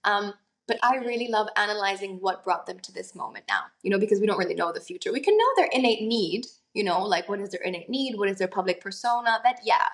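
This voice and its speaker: A woman's voice: mid-range voice, fast. A young adult woman, an actress and singer, talking earnestly in a conversational interview, musing aloud with lots of fillers.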